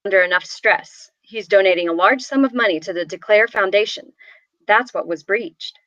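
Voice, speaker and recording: A woman's voice: medium pitch, moderate pace, a bit bright, no room sound, lightly acted. A woman at a script table read playing a formal, demanding client in her late thirties. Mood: demanding, cool. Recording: dry, dull lo-fi microphone.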